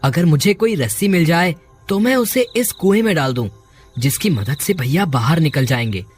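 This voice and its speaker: A high-pitched, earnest young-hero type acted by a man from a cartoon village tale. He speaks with dramatic, anxious urgency and devout feeling. Music plays behind the voice. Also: animated, moderate pace. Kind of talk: Hindi cartoon story acting.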